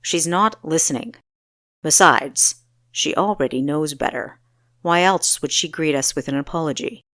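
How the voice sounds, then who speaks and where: A woman voicing a stern seventeen-year-old male ruler in an audiobook, sharp, grave and authoritative. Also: deep voice, measured pace, no room sound, studio quality.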